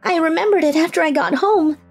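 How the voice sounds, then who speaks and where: A woman's voice: very high voice, fairly quick. A woman voicing an earnest teenage girl in a dubbed manga story. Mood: shy, earnest. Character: earnest shy teenage high school girl, later playful young bride. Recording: music under the voice.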